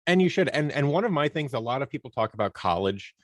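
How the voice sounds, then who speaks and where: A man talking casually as an interview guest, explaining his ideas in a conversational, animated way. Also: medium pitch, quick pace, no echo, studio quality. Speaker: podcast guest, audio drama producer and performer.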